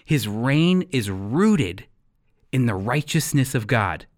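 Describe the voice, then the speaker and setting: Earnest male radio Bible teacher, lively intonation. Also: medium pitch, slow. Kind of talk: sermon-like radio teaching and scripted narration.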